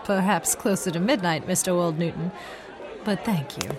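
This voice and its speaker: An actress playing a feisty, exasperated girl Friday to a playboy hero, brisk and sharp-tongued in old-time radio serial style. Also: lowish voice, crowd noise, lightly acted. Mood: politely reserved. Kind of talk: 1940s radio serial acting.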